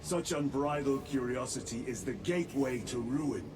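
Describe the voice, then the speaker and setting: An actor playing a resolute male space marine lieutenant who speaks in a clipped, measured way. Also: medium pitch, noisy background, natural voice. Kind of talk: video game cutscene acting.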